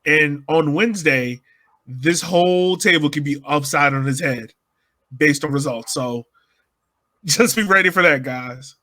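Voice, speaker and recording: Male podcast host, bright forward voice, slightly high pitch, casual sports chat. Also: a little slow.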